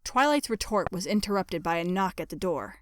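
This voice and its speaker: A woman reading scripted dark fiction as a narrator, measured and dramatic. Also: lowish voice, animated, dry recording, studio quality.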